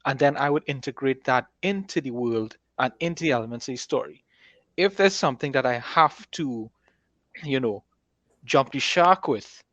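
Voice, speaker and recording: A man speaking as an author and podcast co-host in a conversational, measured, explanatory way. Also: mid-range voice, no echo. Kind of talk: conversational interview discussion. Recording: dry, slightly dull microphone sound.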